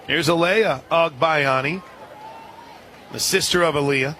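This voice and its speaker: A man calling softball play-by-play on the radio, dropping into casual banter between pitches. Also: a little slow. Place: outdoor softball stadium broadcast position. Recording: outdoor stadium crowd and ambient noise. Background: crowd noise.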